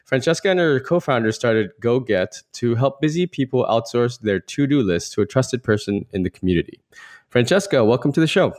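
A man's voice: mid-range voice, lively intonation, brisk, a little mellow. A man hosting a podcast, moving between a scripted introduction and casual interview talk. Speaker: podcast host.

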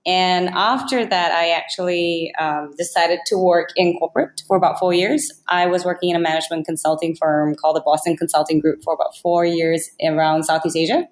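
Female podcast guest from Malaysia, informal delivery, lowish pitch, echoey recording. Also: steady tone, fairly quick, hardly any pauses. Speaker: startup founder, podcast guest. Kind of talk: casual interview answers. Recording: echoey, roomy sound.

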